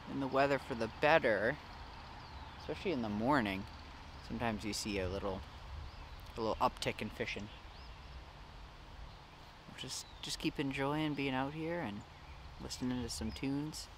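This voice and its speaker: Young adult American male, casual vlogger chat, mid-pitched voice, clear background noise on the recording. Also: normal speed, pauses often. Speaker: fishing vlogger. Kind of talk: casual vlog chat to camera. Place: outdoors by a river, sometimes in a car.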